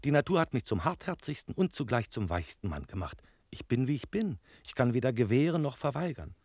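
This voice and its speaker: An actor playing a flustered, impulsive, soft-hearted miserly innkeeper in a German radio play, agitated and hurried. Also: male voice, mid-range voice, very animated, dry recording, studio quality. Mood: helpless.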